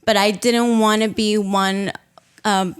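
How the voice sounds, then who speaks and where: A woman in her thirties from Peru, whose first language is Spanish, speaks at a medium pitch. She gives a casual personal account as a guest, pausing at times to find words. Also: level tone, clean tone. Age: 30s. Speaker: podcast guest, patient.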